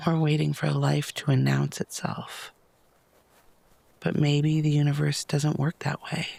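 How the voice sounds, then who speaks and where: A man with a slightly high-set voice guides a meditation in a soft, gentle tone full of pauses. Heavy vocal fry runs through his phrases, which often fade toward a whisper. The sound is close and dry. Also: no room sound. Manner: soft, breathy, lapsing into near-whispers; unhurried, gentle, with long pauses.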